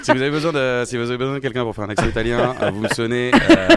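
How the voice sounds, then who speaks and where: A man's voice: mid-range voice, steady tone, racing, a crowd in the background. A man with a French accent, co-hosting a podcast in a joking, laid-back way. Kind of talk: casual joking chat.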